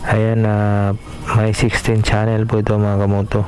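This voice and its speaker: A man's voice: lowish voice, completely flat delivery, measured pace. A man from the Philippines hosting a casual unboxing vlog, hesitant and filler-heavy as he talks straight to his viewers. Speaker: vlog host. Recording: clear background music.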